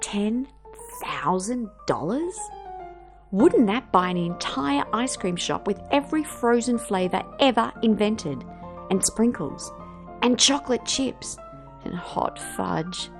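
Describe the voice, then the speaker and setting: A woman reading a children's story aloud with theatrical, emphatic, drawn-out phrasing. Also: medium pitch, lively intonation, music in the background.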